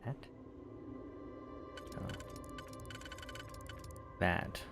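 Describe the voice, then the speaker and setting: A man's voice: drawn-out words, over music. A man giving casual, joking commentary while playing a video game, often muttering to himself. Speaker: gameplay streamer.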